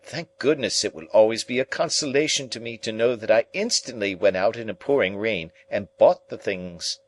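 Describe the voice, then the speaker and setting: A man voicing a fussy, self-pitying older woman relative in an audiobook reading, with a shrill, affected, exclaiming manner. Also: moderate pace, natural voice. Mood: indignant. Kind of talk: audiobook character voice.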